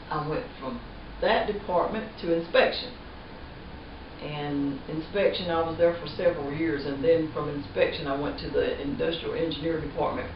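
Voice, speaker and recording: A woman in her fifties from North Carolina, a former textile mill worker chatting in an interview and laughing easily. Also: deep voice. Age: 50s. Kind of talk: casual interview. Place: living room. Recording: dull roomy audio from a far camera with background noise.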